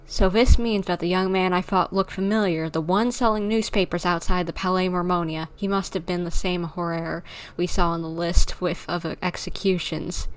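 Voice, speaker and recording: A woman's voice: on the low side, steady tone, normal speed, few pauses, clean tone. A woman livestreamer giving casual game commentary, reflecting and thinking aloud.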